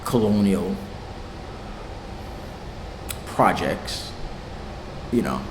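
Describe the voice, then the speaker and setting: A lowish-voiced young man from Haiti chats loosely in an interview, dropping slang, curses and a steady stream of fillers. His voice rings around a reverberant room. A clear hum of background noise sits under every word. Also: takes it slowly. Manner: loose, swearing, laughs easily, lots of fillers. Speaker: interviewed music producer. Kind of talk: casual interview chat.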